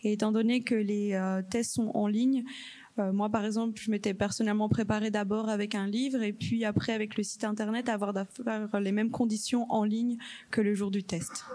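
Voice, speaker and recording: A woman who works at the EU Parliament, answering questions at an information session in a calm, informative way with some fillers. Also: medium pitch, normal speed. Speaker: EU Parliament staff panelist.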